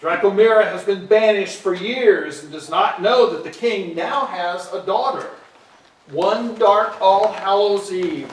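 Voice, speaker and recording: Male stage narrator: high voice, deliberate scripted storytelling, distant mic with faint noise. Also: roomy sound.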